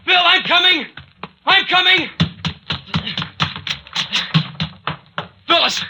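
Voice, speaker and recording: An actor playing an impulsive, devoted young American hero, urgent and emotive, in an old-time radio serial. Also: male voice, extremely high pitch, normal speed. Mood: frantic. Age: young adult. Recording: noisy old radio recording.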